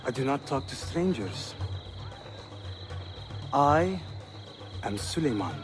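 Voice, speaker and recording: A man's voice: medium pitch, big pitch swings, slow. An actor playing a poised, self-assured teenage Ottoman prince in a video game, speaking. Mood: playful, courteous. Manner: polished, assured. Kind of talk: dramatic video game acting. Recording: background music under the voice.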